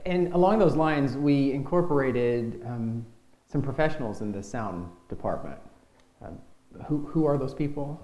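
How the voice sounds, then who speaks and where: A man's voice: mid-range voice, animated, moderate pace, dark tone. A man hosting a conversational interview, prompting his guests with questions and short remarks. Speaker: interviewer, host. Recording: roomy echo.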